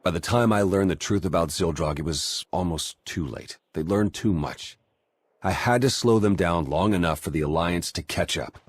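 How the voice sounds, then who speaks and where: An actor playing an earnest undercover spy in a video game, husky-voiced, urgent and pleading. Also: male voice, low-pitched, normal speed, dry recording. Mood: urgent, explaining. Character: earnest male spy working undercover among traitors. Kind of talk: dramatic video game acting.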